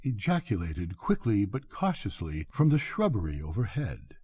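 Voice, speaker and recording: An older man reading a literary bedtime story aloud in measured, formal phrasing. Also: fairly low pitch, wildly swooping pitch, a little mellow, husky. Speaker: story reader.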